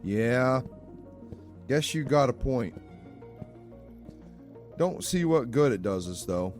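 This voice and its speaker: A man's voice: mid-range voice, animated, slow, a little mellow, soft background music. Man acting grumbling, sardonic skeptic. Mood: skeptical. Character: skeptical, grumbling, reluctant man trapped in a basement. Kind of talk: scripted audio drama acting.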